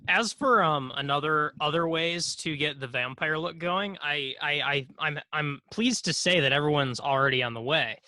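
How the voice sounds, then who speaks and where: A man with a fairly high, bright voice co-hosts a comedy podcast. He rambles casually and hesitantly, dropping dry jokes as he goes. The sound is close, with no room on it. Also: moderate pace, few pauses, dry recording. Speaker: podcast co-host.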